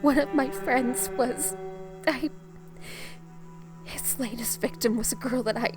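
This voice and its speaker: An actress playing a young rookie superhero, grieving and defensive, in a halting, emotional audio drama performance. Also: female voice, high voice. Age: young adult. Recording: music behind the voice.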